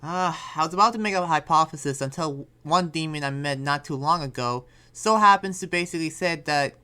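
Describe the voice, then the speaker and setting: A man's voice: a little high, fairly quick, sharp tone, clean tone. A man playing an earnest demon slayer in an improvised roleplay, explaining things in a casual, rambling way.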